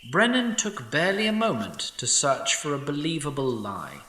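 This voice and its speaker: A man giving scripted narration of a fantasy audio drama with an expressive storytelling delivery. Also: fairly high pitch, normal speed, echoey room, recorded from a distance.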